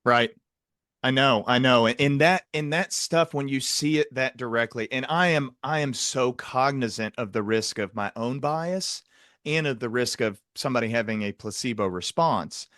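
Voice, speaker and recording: A man's voice: mid-range voice, normal speed, a bit bright, no room sound, clean studio sound. A man hosting a conversational clinical discussion, speaking earnestly and repeating words for emphasis. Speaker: podcast host, psychiatrist.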